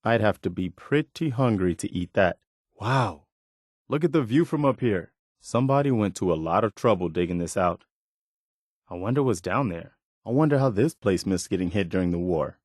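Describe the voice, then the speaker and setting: An actor playing an earnest, weary, principled militia soldier as a video game companion, speaking in a sincere, plain-spoken, conversational way. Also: male voice, fairly low pitch, expressive, normal speed, darkish tone, no room sound, clean studio sound. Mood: curious, wary.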